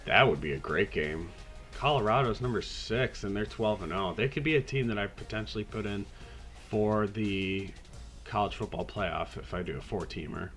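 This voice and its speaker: A man hosting a podcast, talking casually through a video game season in a raspy voice, hoarse from a cold, laid-back and rambling with the odd swear. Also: fairly low pitch, normal speed, a bit bright, soft background music. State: hoarse, getting over a cold. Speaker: podcast host.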